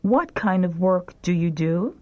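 Slow, clear woman reading lesson prompts. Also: lowish voice, animated, darkish tone, muffled recording. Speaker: language lesson reader. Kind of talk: slow repeat-after-me language drill.

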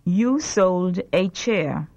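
A woman reading short prompt sentences slowly and clearly for a language drill. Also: lowish voice, expressive, a little mellow, muffled recording. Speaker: language lesson reader. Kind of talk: slow repeat-after-me language drill.

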